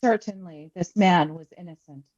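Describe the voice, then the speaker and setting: A woman who is a pastor, reading scripture aloud in a solemn, measured way. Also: fairly low pitch, darkish tone. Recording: slightly dull, lo-fi sound.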